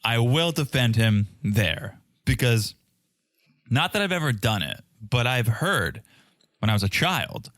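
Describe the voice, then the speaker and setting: A man's voice: mid-range voice, big pitch swings, unhurried, fairly bright tone, no echo, clean studio sound. A man co-hosting a podcast, chatting casually and jokingly about a reality show. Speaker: podcast co-host.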